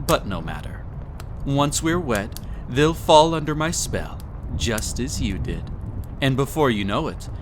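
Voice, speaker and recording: A man's voice: medium pitch, a little hiss or hum. A man playing a possessive, smug prince in a romantic audio roleplay, with a silky, condescending, theatrical delivery. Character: possessive, smug young prince with hypnotic magic.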